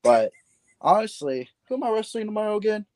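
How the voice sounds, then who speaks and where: A man's voice: high voice, very animated, brisk, a little mellow, dry recording. A man chatting casually as a podcast guest, laid-back and rambling, often restarting his sentences. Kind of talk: casual chat.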